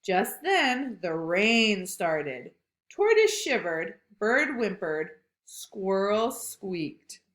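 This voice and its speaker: A woman reads a picture book aloud to children, slow and expressive, often stopping to ask questions. Her pitch rises and falls widely. The voice carries a roomy echo. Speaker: read-aloud storyteller.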